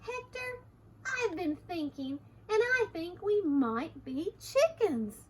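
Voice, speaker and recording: A woman's voice: very high-pitched, lively intonation, takes it slowly, fairly bright tone, slight background noise, pitched above the performer's own voice, exaggerated voice. A woman voicing a silly, cheerful storybook hen with an eager, exclamatory delivery. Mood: excited, eager.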